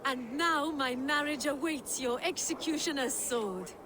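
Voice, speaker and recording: A shrewd, world-weary Frankish noblewoman in a game cutscene. She speaks poetically and with poise in a fairly high voice. Clear ambient noise sits behind her. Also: unhurried, bright, forceful tone. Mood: wistful. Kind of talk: video game cutscene acting.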